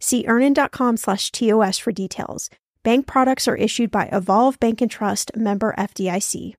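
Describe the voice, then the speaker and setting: A woman's voice: fairly high pitch, normal speed. A woman co-hosting a podcast, moving between casual chat and scripted sponsor reads. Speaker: podcast co-host.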